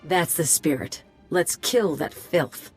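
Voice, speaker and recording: A woman's voice: deep voice, animated, takes it slowly, darkish tone, faint music behind. A woman playing a cocky, bloodthirsty mercenary sidekick in a video game, speaking casually and flippantly. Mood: eager, cocky. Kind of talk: video game cutscene acting.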